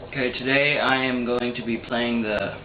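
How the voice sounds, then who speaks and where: A man's voice: medium pitch, fairly even intonation, moderate pace. A man giving a plain demonstration of a homemade instrument in an amateur video. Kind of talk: plain spoken demonstration to camera. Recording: dull, roomy amateur video sound.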